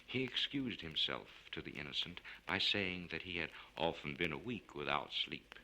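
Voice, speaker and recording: A man giving a theatrical, measured and formal narration of a literary short story. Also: very deep voice, animated, sharp tone. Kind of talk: scripted dramatic narration. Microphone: studio recording for LP. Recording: noisy vintage spoken-word record.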